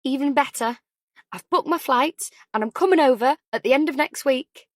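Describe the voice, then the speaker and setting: A woman's voice: high-pitched, normal speed, no echo, studio quality, voice pushed up, natural voice. A woman voicing a cheery, doting, anxious older mother chatting on the phone in an audiobook. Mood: triumphant. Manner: chatty, fussing, affectionate. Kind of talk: audiobook character dialogue.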